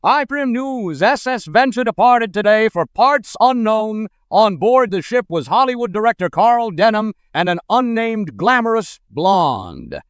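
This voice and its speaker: A man's voice: high voice, big pitch swings, moderate pace, hardly any pauses, clear, resonant tone. A man playing a 1940s radio newsman, reading the bulletin in a clipped, theatrical announcer style. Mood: brisk, dramatic. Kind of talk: 1940s radio news acting.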